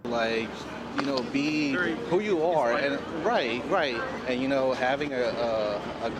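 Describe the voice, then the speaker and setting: A man interviewed as a fan rambles along, leaning on "like" and "you know". His voice is at an ordinary male pitch. Loud street and traffic noise surrounds him. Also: unhurried.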